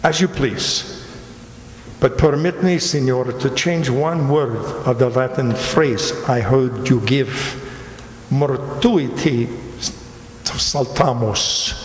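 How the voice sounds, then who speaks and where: Slow, ominous gentleman played by an actor. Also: medium pitch, lightly acted. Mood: cold courtesy. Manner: halting, courtly, ominous. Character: formal, cryptic Italian gentleman giving ominous warnings. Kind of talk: radio drama acting. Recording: echoey hall with faint noise.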